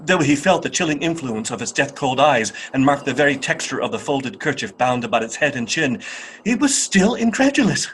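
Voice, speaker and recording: A man narrating a radio play as a dramatic storyteller, with theatrical, expressive and deliberate phrasing. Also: medium pitch, slight background noise.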